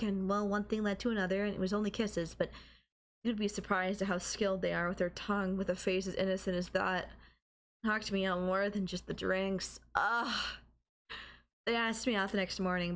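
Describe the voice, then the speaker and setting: Casting: woman as young student girlfriend, mid-pitched, coy, teasing and affectionate, for intimate anime-style audio roleplay. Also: close to an everyday voice.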